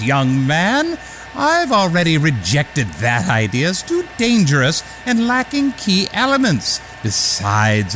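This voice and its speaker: A man playing a pompous, high-pitched, scornful inventor. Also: fairly bright tone. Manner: pompous, deliberate, condescending. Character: Thomas Edison, pompous scornful established male inventor. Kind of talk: radio theater acting. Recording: music under the voice.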